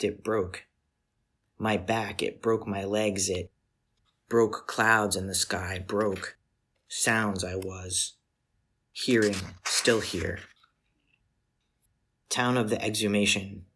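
A man giving a literary poetry reading in a measured, solemn manner, with deliberate pauses between short phrases. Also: lowish voice, a bit bright. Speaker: poetry reader.

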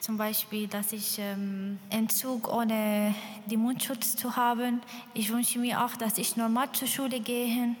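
A woman who is a student speaks haltingly in simple phrases, with hesitations. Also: a little high, flat delivery. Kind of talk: personal statement. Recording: long hall reverb, faint background hiss.

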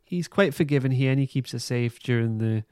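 A man hosting a podcast, giving a casual recap in an informal, halting way. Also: medium pitch, warm tone, clear, resonant tone, dry recording, clean studio sound. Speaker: podcast host. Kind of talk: casual plot recap and review.

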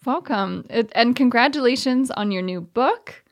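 A woman's voice: a little high, expressive, normal speed, muffled recording. A woman interviewer reading a prepared introduction and asking a guest questions in an orderly interview style.

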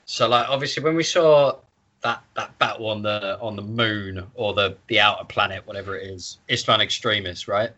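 A man's voice: on the low side, normal speed, pushed and bright, lo-fi sound. A man co-hosting a podcast, casually discussing a book in an informal, sweary way. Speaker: podcast co-host.

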